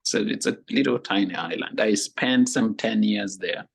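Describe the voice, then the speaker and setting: A middle-aged man from Kenya, answering interview questions in a relaxed, explanatory way. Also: fairly low pitch, normal speed, gravelly, breathy edge. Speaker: podcast guest, runner.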